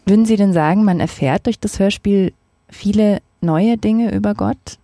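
Mellow woman interviewer, measured. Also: mid-range voice, no room sound, clean studio sound. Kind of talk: prepared interview questions.

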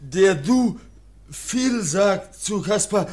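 An actor playing a childlike young foundling who barely speaks, halting and repeating single words in broken speech in a radio play. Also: male voice, high voice, expressive, a little mellow, full character voice. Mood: insistent. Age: young adult. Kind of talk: German radio play acting.

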